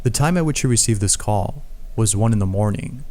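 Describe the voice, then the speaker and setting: A man's voice: fairly low pitch, lively intonation, fairly quick, mellow. A man reading scripted narration of a spooky story as a narrator.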